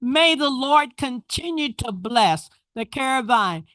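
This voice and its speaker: Slow, fervent woman at public comment. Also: high-pitched, lively intonation, fairly bright tone, no echo. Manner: fervent, blessing-giving. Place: council chamber.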